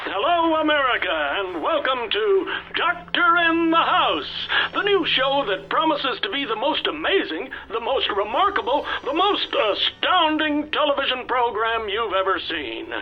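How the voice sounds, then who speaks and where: A bombastic male TV master of ceremonies, pitched high and swooping grandly through every phrase. The sound is muffled, like a television set, with music behind. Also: very animated, measured pace, hardly any pauses, heavily acted. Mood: grand, showy. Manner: grandiose, showy announcing. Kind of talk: theatrical radio drama acting.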